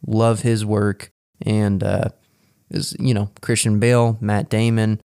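A man's voice: on the low side, level tone, slow pace, gravelly, darkish tone, smooth, dry recording, clean studio sound. A man co-hosting a casual podcast, talking enthusiastically and rambling with many fillers and restarts. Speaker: podcast co-host.

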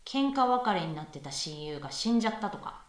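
A woman's voice: medium pitch, very animated, brisk, natural voice. A woman playing a playful, pushy young female university student in a conversational radio drama. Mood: musing, teasing. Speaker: voice actress in amateur radio drama. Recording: echoey room, far from mic.

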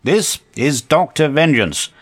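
A man's voice: a little high, sing-song, takes it slowly, heavily acted. A man playing an officious, exasperated secret agency chief in a comedic audio drama, commanding, scornful and melodramatic. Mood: grave.

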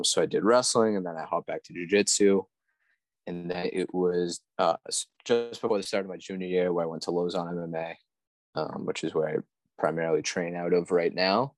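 A man's voice: sits low, no echo. A man in his twenties from Massachusetts, a guest on a podcast, easygoing and self-deprecating, with lots of fillers. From Massachusetts, USA. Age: 20s. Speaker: podcast guest, amateur fighter. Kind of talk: casual interview talk.

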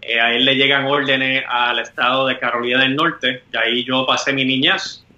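A man's voice: mid-range voice, fairly even intonation, normal speed, pushed and bright. A man with a Puerto Rican accent answering as a guest over a video call, earnest, with "eh" fillers between sentences. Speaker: guest, lawyer. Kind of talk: interview answers. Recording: roomy, dull video call audio.

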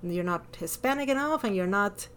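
A woman with a Latin American Spanish accent talking casually and expressively as a podcast host, with animated emphasis. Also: medium pitch, normal speed, clear, resonant tone. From born in Miami, lived over a decade in Ecuador. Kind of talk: casual personal conversation.

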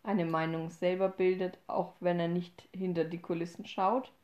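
A woman's voice: on the low side, flat delivery, moderate pace, darkish tone, muffled recording. A woman of 26 from Upper Franconia in Germany, chatting casually as a podcast co-host. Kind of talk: casual conversational podcast talk. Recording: roomy, echoey sound.

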